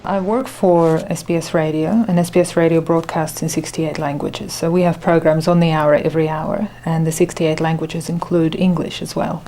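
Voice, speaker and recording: A middle-aged woman whose first language is Ukrainian answers interview questions in a low, mellow voice. Her pitch stays fairly flat as she thinks aloud, with many fillers and restarts. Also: moderate pace, hardly any pauses, slight background noise. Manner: thoughtful, hesitant with fillers and restarts. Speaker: radio station manager.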